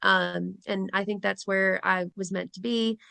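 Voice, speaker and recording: A woman's voice: medium pitch, fairly even intonation, a little slow, creaky, sharp tone, smooth, no echo. A woman in her twenties from Rhode Island, a teacher and coach talking casually as an interview guest, chatty with lots of ums. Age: 20s.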